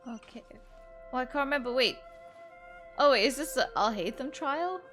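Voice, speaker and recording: A woman's voice: high-pitched, expressive, moderate pace, a bit bright. A young woman giving casual let's-play commentary, rambling informally and musing aloud as she plays. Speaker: gaming video creator. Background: game music.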